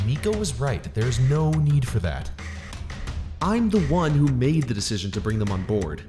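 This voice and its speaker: A man's voice: medium pitch, sing-song, moderate pace, music in the background, natural voice. A man acting an earnest, protective young crewman. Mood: firm, defensive. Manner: earnest, firm. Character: protective, principled young spaceship crewman standing firm. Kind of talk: dramatic audio drama acting.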